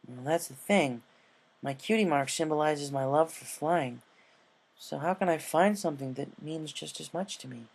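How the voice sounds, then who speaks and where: A man's voice: fairly high pitch, normal speed, creaky, pitched above the performer's own voice. Plain-spoken, troubled pegasus mare, young and stubborn, casual delivery for a story reading, acted by a man. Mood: searching. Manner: casual, frank. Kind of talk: character dialogue in fan fiction reading.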